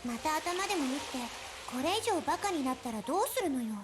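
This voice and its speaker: A woman's voice: sits high, expressive, very fast. A woman voicing a proud, teasing seventeen-year-old girl in love in a visual novel. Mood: flustered, teasing. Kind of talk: Japanese visual novel voice acting. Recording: game music over the voice. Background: visual novel music.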